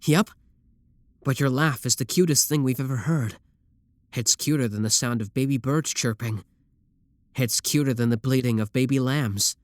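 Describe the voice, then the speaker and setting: A man's voice: mid-range voice, normal speed, no echo, close to an everyday voice. Male actor as yandere sibling kidnapper, ASMR roleplay. Mid-range, very dry sound, reassuring, adoring, casually possessive. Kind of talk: scripted ASMR roleplay addressed to the listener.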